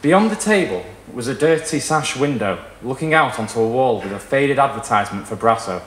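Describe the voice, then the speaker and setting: A young man reading a literary short story aloud in a measured, dramatic way. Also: medium pitch, expressive, a bit bright, roomy sound, slight background noise, poor audio. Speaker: reader at a live short story event. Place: small indoor performance room with wood panelling.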